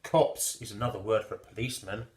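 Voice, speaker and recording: A man's voice: on the low side, fairly even intonation, fast, a little mellow, echoey room. A man reading a children's story expressively and theatrically, explaining things simply for small children. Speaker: storybook reader.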